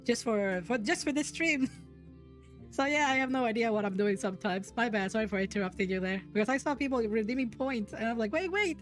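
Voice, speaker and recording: A woman's voice: fairly high pitch, fairly quick, soft background music. Giggly, rambling woman host. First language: Spanish. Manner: giggly, rambling with many restarts. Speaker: podcast host. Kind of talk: casual streamed chat.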